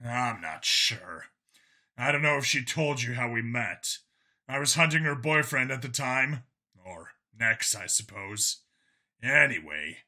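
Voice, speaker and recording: Man playing a blunt, jaded cop, sharp-voiced. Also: mid-range voice, full character voice. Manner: halting, self-questioning, blunt. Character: jaded, blunt male alien-world cop, emotionally guarded.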